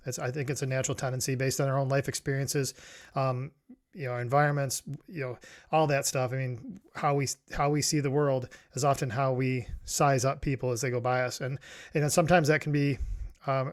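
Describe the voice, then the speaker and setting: A middle-aged man from New York giving a reflective solo talk as a podcast host, rambling with many restarts and fillers. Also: mid-range voice. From New York, USA.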